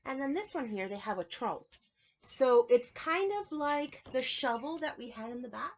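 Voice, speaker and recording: A woman preschool teacher reading aloud and explaining things to young children, clearly emphasizing key words in an instructive way. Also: a little high, animated, moderate pace, dull audio. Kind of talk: children's read-aloud lesson with explanations and questions.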